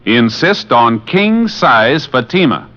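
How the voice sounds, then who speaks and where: A man with a medium-pitched, lively voice reads vintage radio announcements. His delivery is measured and emphatic, on slightly dull old audio. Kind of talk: scripted 1950s program announcement and sales pitch. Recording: muffled vintage radio broadcast.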